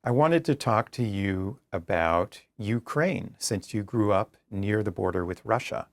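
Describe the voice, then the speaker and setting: A man hosting a show, asking the guest brief, measured questions. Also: on the low side, expressive, no room sound. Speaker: show host. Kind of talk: interview questions.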